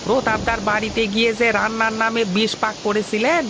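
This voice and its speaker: A woman voicing a cheeky, grumbling male household servant in a cartoon, with a creaky voice and a mocking, whiny manner. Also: a little high, little pitch movement, fairly quick, background noise, natural voice. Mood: teasing. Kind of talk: Bengali cartoon voice acting.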